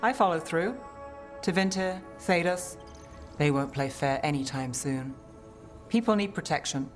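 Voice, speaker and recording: Guarded, world-weary fantasy detective. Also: female voice, low voice, lively intonation, unhurried, soft background music. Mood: quiet resolve. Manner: wry, clipped, restrained. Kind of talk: video game cutscene acting.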